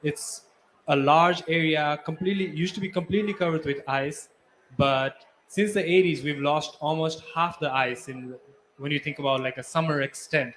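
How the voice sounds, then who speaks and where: Higher-pitched man, faint background noise. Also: steady tone, moderate pace, fairly bright tone, poor audio. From Nepal. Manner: earnest, explanatory. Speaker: climate researcher panelist. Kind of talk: spontaneous panel talk.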